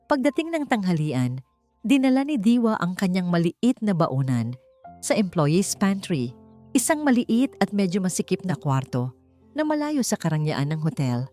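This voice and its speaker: A woman's voice: lowish voice, mellow. A woman narrating a scripted audiobook story in a dramatic storytelling style, with music behind her.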